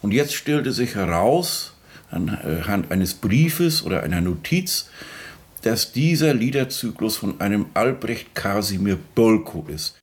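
A man's voice: on the low side, big pitch swings, a little slow. A man playing a music expert in a mock radio documentary, explaining research in a hesitant, conversational way. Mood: hesitant, explanatory. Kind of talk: spontaneous-sounding interview commentary in a mock documentary.

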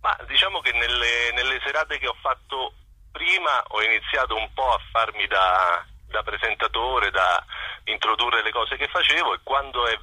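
A man being interviewed as a performer, talking casually and reflectively, often pausing to search for his words. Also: medium pitch, bright, forceful tone, breathy edge, no room sound, poor audio. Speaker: interview guest, singer-songwriter and performer.

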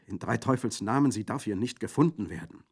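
A man reading aloud, voicing an earnest, lovesick wounded young officer in a soft, hushed way. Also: low voice, measured pace. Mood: pleading. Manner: soft, hushed, at times whispering.

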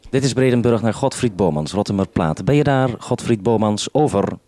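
A man's voice: lowish voice, lively intonation, fairly quick, mellow, no room sound. A man working as a radio link operator, making procedural call-ups and relaying messages. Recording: faint noise and clicks, radio link.

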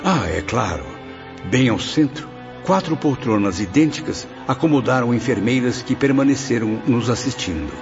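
A man's voice: medium pitch, lively intonation, normal speed, close to an everyday voice. An actor playing a remorseful man, a soul rescued from darkness, who narrates his memories and snaps at his companions. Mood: reminiscing. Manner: emotional, sharp-tongued with companions. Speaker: first-person narrator and lead. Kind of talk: radio drama acting. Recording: dull lo-fi audio with music bed.

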